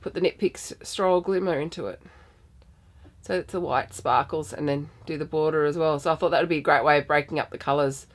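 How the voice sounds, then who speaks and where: Australian woman, slightly low voice, croaky, rambling casual chat, podcast host at home. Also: a little fast. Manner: chatty, rambling with many ums. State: croaky from a sinus infection.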